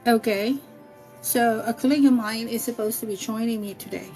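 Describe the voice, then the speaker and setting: Chatty woman with a high voice. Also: fairly even intonation, fairly quick, warm tone, faint music behind. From Philippines. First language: Tagalog. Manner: chatty, fretting over technical glitches. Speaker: livestream host, psychic medium. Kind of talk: casual livestream chat. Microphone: computer or phone mic on a live stream. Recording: dull lo-fi livestream audio.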